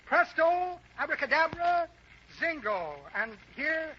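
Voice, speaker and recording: A man's voice: very high voice, lively intonation, takes it slowly, exaggerated voice. An actor playing a boastful, shifty magician and con man with pompous, florid patter in an old American radio comedy. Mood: slippery, bluffing. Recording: muffled, hissy old-time radio recording.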